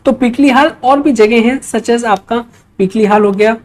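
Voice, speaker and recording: A woman's voice: a little high, normal speed, a little mellow. A woman with an Indian accent lecturing as an exam-prep teacher, explaining points step by step and often checking in with short tags. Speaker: history teacher for exam preparation. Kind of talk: explanatory lecture working through quiz questions.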